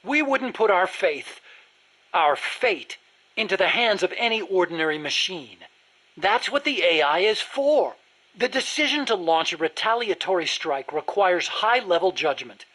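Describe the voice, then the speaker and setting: A man's voice: high voice, animated, a little slow, sharp tone, full character voice, poor audio. A man playing an earnest, nerdy American weapons engineer in a video game, eager to explain things in precise detail. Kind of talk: video game dialogue acting.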